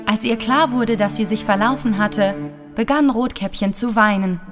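Woman storyteller, children's fairy-tale narration, slightly high pitch, music underneath. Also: moderate pace.

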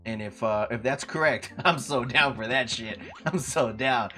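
A man's voice: mid-range voice, measured pace, bright, forceful tone. Profane young male streamer, faint music. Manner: loose, profane, talks to chat, jokes around. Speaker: streamer playing a video game. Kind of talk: casual live-stream chat. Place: home room, seen on webcam.

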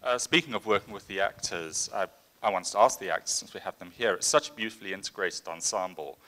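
Bright-voiced male moderator. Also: low voice, fast talker. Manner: polite, enthusiastic questioning. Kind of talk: prepared interview questions. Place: cinema stage with seated panel. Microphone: handheld mic.

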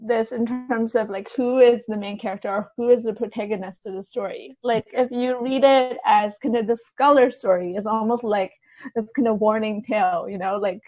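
A woman with a fairly high voice talks through ideas as a podcast guest. Her manner is informal and hedging, peppered with "kind of" and "like". The sound is very dry and slightly muffled. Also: steady tone, normal speed, no room sound. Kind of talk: casual literary discussion.

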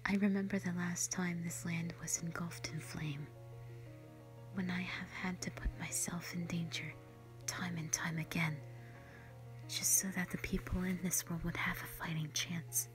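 A woman voicing a gentle, protective elder dragon in a slow, solemn, whispered audio roleplay monologue, full of sorrow. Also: lowish voice, little pitch movement, close to an everyday voice. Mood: sorrowful, brooding. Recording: music behind the voice.

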